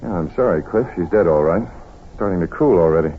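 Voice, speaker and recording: A narrow, noisy vintage radio recording opens onto a calm detective who takes charge. The voice is on the low side, brisk and deliberate, with an American accent and old-time radio delivery. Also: male voice. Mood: grave, businesslike. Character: calm methodical male doctor detective taking charge. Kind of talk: 1940s radio acting.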